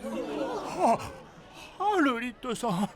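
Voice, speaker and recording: Meek, very high-voiced merchant. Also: male voice, very animated, extremely slow, a crowd in the background, background noise, heavily acted. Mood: pleading. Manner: hesitant, deferential, formal. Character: timid, humble, very polite newcomer merchant. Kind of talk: anime audio drama acting.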